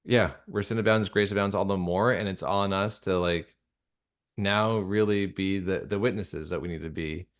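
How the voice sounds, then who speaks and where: Earnest man with a lowish voice. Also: fairly even intonation. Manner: earnest, halting, emphatic with repetition. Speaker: interview guest, radio drama creator. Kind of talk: earnest conversational interview about faith.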